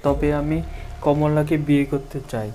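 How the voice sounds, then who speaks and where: Man playing an earnest young suitor, highish voice. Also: fairly even intonation, moderate pace, mellow, slight background noise, close to an everyday voice. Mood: determined. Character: earnest, lovestruck young landlord's son, firm-willed. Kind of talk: cartoon story dialogue.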